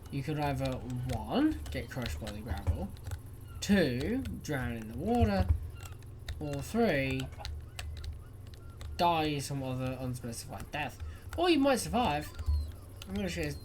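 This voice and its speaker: Tired, chatty English gamer. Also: female voice, deep voice, very animated, measured pace, long pauses, a little mellow, slight background noise. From England. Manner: chatty, rambling, self-deprecating. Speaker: gaming YouTuber. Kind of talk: casual gameplay commentary.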